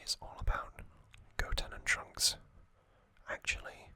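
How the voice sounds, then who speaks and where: Man, soft unvoiced whisper, unhurried, close-miked ASMR, airy and bright, pauses with ums. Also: no room sound. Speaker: ASMR channel host. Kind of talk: whispered ASMR trivia narration.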